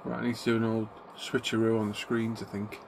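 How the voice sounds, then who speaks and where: A man's voice: lowish voice, monotone, brisk, creaky. A middle-aged man, a little bunged up from a cold, chatting easily with viewers on his live stream. He greets people by name and talks in an everyday tone. His desk mic picks him up in a home hobby room, with a faint hiss behind him. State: congested, getting a cold. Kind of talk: casual live stream chat.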